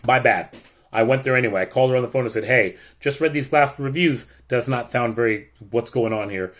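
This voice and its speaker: A middle-aged man from the United States talks straight to the camera in a loud, blunt voice. He sounds frustrated and emphatic as he vents. His pitch sits in the middle range. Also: fairly quick. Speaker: teacher. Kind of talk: warning vlog rant.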